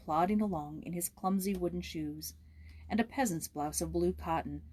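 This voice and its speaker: A woman's voice: on the low side, warm tone. A woman reading a storybook aloud as host, with casual asides.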